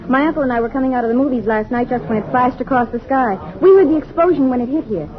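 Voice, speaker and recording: A woman's voice: sits high, quick pace, a crowd in the background, lightly acted. An actress playing an eager, assertive young educated woman in an American radio drama, earnest and articulate. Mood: eager, admiring. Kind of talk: mid-century American radio drama acting. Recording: dull old-time radio recording.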